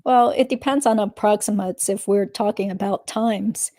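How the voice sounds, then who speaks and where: A woman's voice: mid-range voice, steady tone, normal speed, mellow, no echo, clean studio sound, lightly acted. A woman reading the part of a guarded witness testifying in a legal deposition. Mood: guarded, matter-of-fact.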